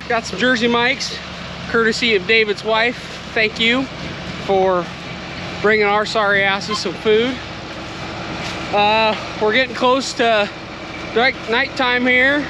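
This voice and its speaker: Young male farm vlogger, high-pitched and easygoing, filmed at arm's length outdoors; loud engine noise behind. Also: fairly even intonation, slow pace, long pauses. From Iowa, USA. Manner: joking, easygoing, self-explaining. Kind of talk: casual vlog to camera. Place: outdoors in a harvested cornfield beside machinery. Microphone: camera held at arm's length.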